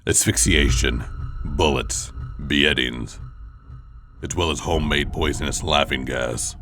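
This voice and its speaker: A man's voice: very deep voice, fairly bright tone, exaggerated voice. A man voicing a grim, brooding masked vigilante who narrates his thoughts tersely in noir comic-book style over music.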